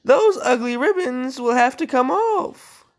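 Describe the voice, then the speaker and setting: A woman's voice: high voice, lively intonation, measured pace, pitched above the performer's own voice. A woman voicing spiteful, scheming young stepsisters from a fairy tale in a gloating, sneering manner.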